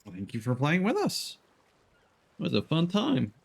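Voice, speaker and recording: A man's voice: fairly high pitch, huge pitch swings, normal speed, vocal fry, slightly rough. A man hosting a game livestream, chatting casually in an easygoing, hesitant way with trailing sentences. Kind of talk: casual gaming chat.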